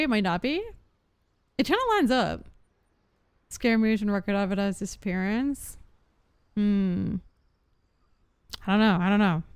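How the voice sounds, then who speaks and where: A woman streams a game with casual commentary. Her voice is clean and smooth at an average pitch, recorded very dry, as she muses aloud informally. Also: darkish tone, no echo. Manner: musing aloud, giggly.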